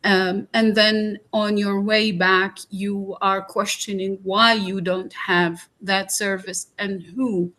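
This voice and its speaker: A woman from Iraq, a guest on a talk podcast, speaking slowly and earnestly with many pauses and fillers. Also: medium pitch, level tone, bright, forceful tone.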